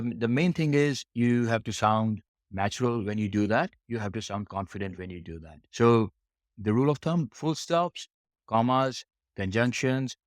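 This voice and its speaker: A man's voice: lowish voice, dry recording, clean studio sound. A man teaching a test preparation lesson, explaining step by step and repeating phrases for emphasis. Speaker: English test preparation instructor.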